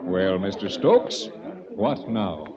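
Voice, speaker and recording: Smug, swooping radio villain. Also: male voice, low-pitched, very animated, takes it slowly, a crowd in the background, full character voice. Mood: mock courtesy. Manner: mockingly courteous, taunting, articulate. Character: smooth, smug, menacing villain framing his captive. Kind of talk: 1940s radio mystery acting. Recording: dull vintage 1940s radio recording.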